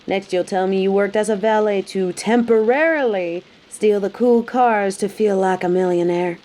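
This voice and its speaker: A woman's voice: mid-range voice, dark tone, close to an everyday voice, rough recording. A woman playing a tough, sassy bounty hunter in an audio roleplay, speaking straight to the listener with dry, taunting, scolding bluntness. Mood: teasing, probing. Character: tough, sardonic female bounty hunter, grudgingly hospitable, scolding. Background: rain.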